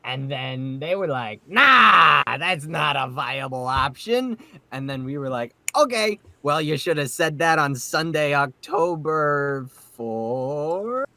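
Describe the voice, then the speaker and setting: A man hosting a casual group podcast, talking in an excitable, jokey way with some mild swearing. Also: on the high side, slow, no echo. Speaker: podcast host and amateur voice actor.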